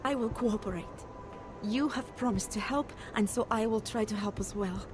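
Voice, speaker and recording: A woman's voice: on the high side, normal speed, noisy background, lightly acted. A woman playing a wary, formal witness. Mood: guarded. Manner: formal, precise, guarded. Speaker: game character. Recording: game cutscene with loud music.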